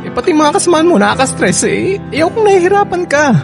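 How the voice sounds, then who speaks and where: A concerned young husband in a radio drama, protective and insistent. His delivery is emotive, with very lively swings in pitch. Faint music sits behind the dialogue. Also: fast, gravelly, heavily acted. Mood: pleading. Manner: emotive, pleading, stammering with concern.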